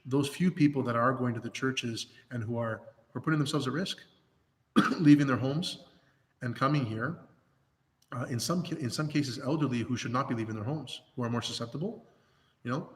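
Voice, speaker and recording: A hoarse man speaking as a priest in a livestreamed pastoral talk, earnest, with many restarts and fillers. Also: mid-range voice, normal speed, big-room sound. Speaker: Orthodox priest.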